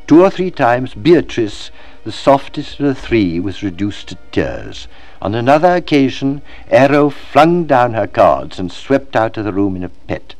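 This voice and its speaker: Male literary narrator, mid-pitched, animated and expressive delivery, measured dramatic phrasing. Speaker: audiobook reader. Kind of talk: scripted literary narration, expressive storybook reading.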